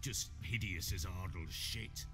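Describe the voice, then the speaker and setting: An actor playing a burly, blunt middle-aged baron in a video game, gruff and earthy in the way he talks. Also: low-pitched, a little slow, over music. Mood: wry storytelling. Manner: earthy, storytelling. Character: burly gruff baron, blunt, jovial, world-weary older man. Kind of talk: video game dramatic dialogue.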